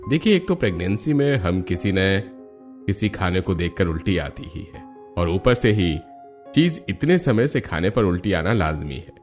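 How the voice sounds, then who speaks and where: Cartoon doctor, very low voice, lively up-and-down delivery, faint music behind. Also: male voice, normal speed. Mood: advising. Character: male doctor, calm and advising.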